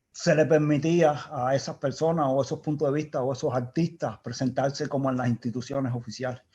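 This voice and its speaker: A man's voice: a little high, a little mellow. A man with a Cuban accent, an engineer guest in an interview, recalling the past in a reflective, rambling way with restarts. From Cuba. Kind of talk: casual storytelling interview. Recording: dull lo-fi sound.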